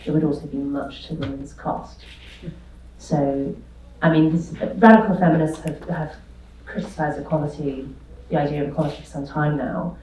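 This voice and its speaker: A woman panelist speaking in a quiet, measured way. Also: low-pitched, steady tone, slight background noise. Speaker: panelist, author. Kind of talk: panel discussion. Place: lecture hall. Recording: very echoey hall, voice far from mic.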